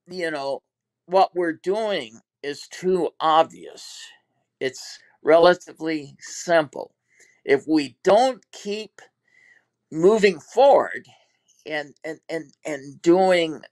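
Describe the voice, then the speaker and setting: An older man with a Texas accent, a former TV producer, recalling his career in an interview with many fillers and restarts. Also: on the high side. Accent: Texan. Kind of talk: reflective interview talk.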